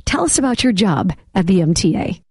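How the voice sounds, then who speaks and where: A middle-aged woman, hoarse from laryngitis, hosting an interview podcast. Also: mid-range voice, big pitch swings, fairly quick, dry recording, clean studio sound. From Boston area. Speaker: podcast host.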